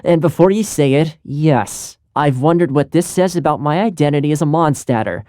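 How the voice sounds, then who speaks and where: An actor playing a friendly, loyal young retainer in a video game, speaking in a chatty, earnest, conversational way. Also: male voice, on the high side, moderate pace, mellow, dry recording, clean studio sound, lightly acted. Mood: cheerful, casual. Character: friendly, easygoing young retainer man, loyal and good-natured. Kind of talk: video game character voice lines.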